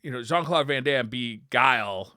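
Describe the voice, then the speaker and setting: A man's voice: medium pitch, lively intonation, normal speed, sharp tone, no echo, clean studio sound. A man co-hosting a podcast in casual, conversational chat. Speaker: podcast co-host. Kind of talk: casual chat about games and movies.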